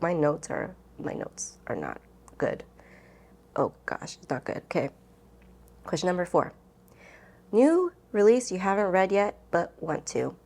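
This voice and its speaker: Low-voiced woman, rambling casual vlogger. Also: darkish tone. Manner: rambling, self-interrupting, giggly. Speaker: book vlogger. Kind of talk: casual chat to camera, book tag.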